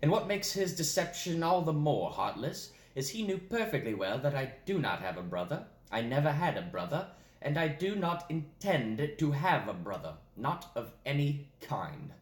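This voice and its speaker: A man playing an indignant, pompous young English gentleman in a formal, theatrical stage monologue. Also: a little high, sing-song, moderate pace, voice pushed up. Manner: formal, theatrical, emphatic declamation. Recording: roomy echo, faint background noise.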